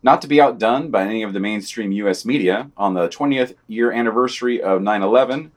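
A man co-hosting a podcast, reading scripted news commentary aloud in a wry, sardonic manner. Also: medium pitch. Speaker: podcast co-host.

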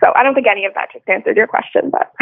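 American woman on a thin phone line, creaky. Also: a little high, fairly quick. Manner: informal, peppered with "like" and "you know". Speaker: podcast discussion participant. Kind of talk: casual reflective discussion.

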